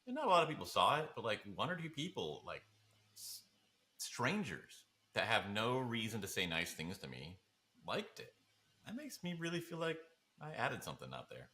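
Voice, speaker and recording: Bright, animated man chatting. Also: mid-range voice, normal speed. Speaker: podcast co-host. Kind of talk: casual chat.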